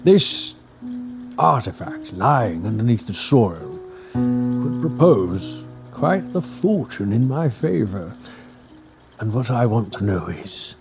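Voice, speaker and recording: An actor playing an excitable, pompous older scholar in an audio drama, speaking in a theatrical, drawn-out way. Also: male voice, lowish voice, creaky, music in the background, heavily acted. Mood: relaxed.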